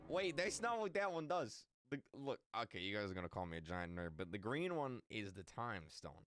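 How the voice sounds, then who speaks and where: A man in his twenties giving casual, jokey reaction commentary, excitable and slangy, repeating his phrases, close on the mic. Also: on the low side, fairly quick, no echo. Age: 20s. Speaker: reaction video host. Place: indoor room studio with green screen. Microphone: close microphone on boom arm.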